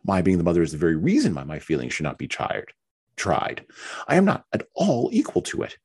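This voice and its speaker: A man's voice: deep voice, huge pitch swings, fairly quick, a little mellow, breathy edge, full character voice. A man voicing a fretful, self-pitying young mother who whines and complains in a raised voice. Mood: whining. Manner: complaining, whiny and petulant.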